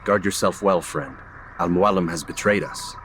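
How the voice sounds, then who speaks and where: A man's voice: deep voice, normal speed, a bit bright, poor audio. A man playing a stoic, principled young assassin in a video game cutscene, speaking tersely and earnestly. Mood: wary, grave. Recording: faint background noise.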